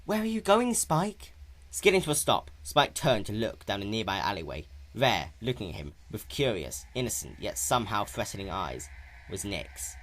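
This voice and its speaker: A man's voice: on the low side, big pitch swings, moderate pace, fairly bright tone. A man narrating a story in a dramatic, scripted reading style.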